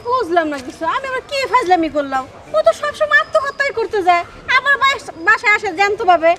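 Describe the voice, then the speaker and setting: A woman's voice: very high-pitched, sing-song, fast talker, noisy background. A woman playing a sarcastic, unbothered village mother in a comedy drama. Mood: sarcastic, scolding.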